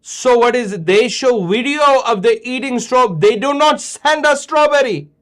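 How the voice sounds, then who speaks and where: A man's voice: extremely high pitch, animated, moderate pace, a bit bright, voice pushed up. A man voicing a jokey male friend who speaks with a put-on Pakistani accent and slips in Urdu words. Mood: playful. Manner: formal, mixes in Urdu words.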